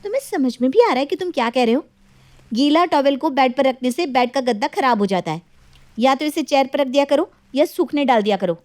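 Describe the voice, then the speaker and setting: Woman acting high-voiced, assertive young wife. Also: expressive, fairly quick, darkish tone, no echo. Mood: exasperated, scolding. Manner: scolding, assertive. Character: sensible, independent young wife, firm and exasperated.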